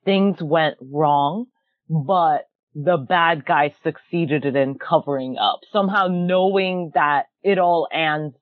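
A woman talking as a guest on a podcast, chatty and quick to laugh, with a lot of filler words. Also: low-pitched, dry recording. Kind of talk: casual podcast chat. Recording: tinny phone-like line.

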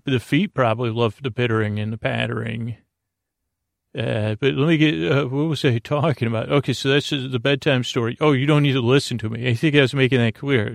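A man hosting a bedtime podcast in a creaky, lulling voice, meandering through a halting monologue full of tangents and self-interruptions. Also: medium pitch, no room sound, clean studio sound. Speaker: podcast host.

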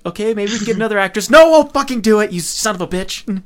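A man co-host chats casually and jokes about a film. His voice is slightly high and very animated in pitch. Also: fast. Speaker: podcast co-host. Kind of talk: casual joking film chat.

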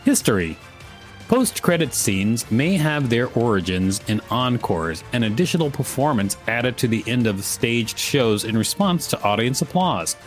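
A man's voice: mid-range voice, big pitch swings, measured pace, faint music behind. A man co-hosting a podcast, reading an article aloud and chatting casually about it. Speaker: podcast co-host.

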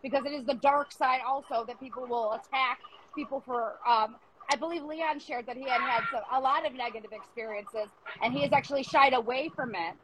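Female interview host, higher-pitched, reflective and meandering, over a noisy online call. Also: brisk. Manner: rambling, reflective, self-deprecating. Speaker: host of an arts interview show. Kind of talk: casual interview chat.

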